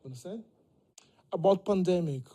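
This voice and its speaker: A middle-aged man with a Brazilian Portuguese accent, speaking as a panelist at a debate in a lecture hall. He talks haltingly, repeats words and keeps checking that listeners understand. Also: sits high, warm tone. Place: lecture hall stage, seated at a table. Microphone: table microphone.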